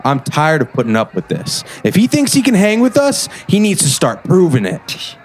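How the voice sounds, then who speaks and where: A man's voice: on the high side, wildly swooping pitch, normal speed, slight background noise. An actor playing a boastful, bullying teenage boy who leads a gang in an audio drama. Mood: taunting, bossy. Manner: boastful, refers to himself in third person. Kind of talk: scripted audio drama acting.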